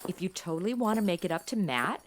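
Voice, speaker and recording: A woman's voice: lowish voice, expressive, fast, a little hiss or hum, lightly acted. A woman playing a flippant, sarcastic modern young woman stuck in the Old West, in a comedy audio drama. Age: young adult. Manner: sarcastic, slangy, breezy.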